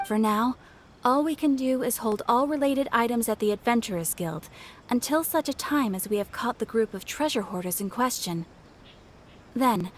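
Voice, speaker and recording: Game role: actress as female guild clerk, somewhat high voice, official, polite, explanatory, music behind. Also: natural voice. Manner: formal, courteous, explanatory. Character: formal, composed female adventurers' guild receptionist. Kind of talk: video game voice acting.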